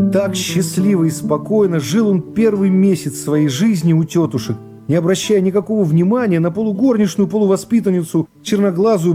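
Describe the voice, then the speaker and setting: A man narrating a literary radio play in a measured, expressive storytelling manner. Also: high-pitched. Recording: music behind the voice. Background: plucked guitar music.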